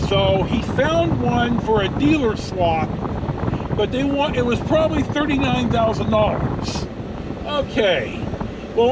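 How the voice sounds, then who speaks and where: Male vlogger, notably high voice, loose plain-spoken storytelling, recorded on the go with clear traffic and engine noise. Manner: rambling, plain-spoken, mildly profane. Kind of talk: casual rambling storytelling vlog. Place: inside a moving car and outside on a car lot. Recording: noisy vehicle and outdoor background. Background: car and road noise.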